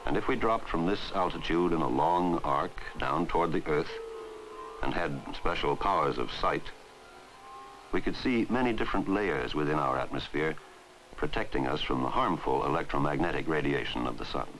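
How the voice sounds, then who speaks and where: Very deep-voiced man narrating a 1960s film. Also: animated, background noise. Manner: measured, formal delivery. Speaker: documentary narrator. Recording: 1960s film soundtrack, loud music. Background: loud background music.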